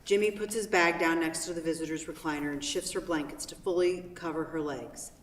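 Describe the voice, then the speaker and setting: A woman's voice: low-pitched, steady tone, brisk, a little hiss or hum. A woman reading stage directions as scripted narration for a play. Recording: very echoey hall from far off.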